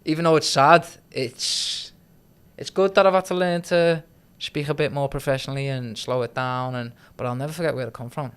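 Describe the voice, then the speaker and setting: Young Liverpool man, toned-down Scouse, smooth clear voice, chatty and laughing, podcast guest. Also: mid-range voice, steady tone, normal speed, fairly bright tone. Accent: softened Liverpool Scouse. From Liverpool, England. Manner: chatty, laughs often, frequent "do you know what I mean". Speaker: guest, trading company CEO. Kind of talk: casual podcast conversation.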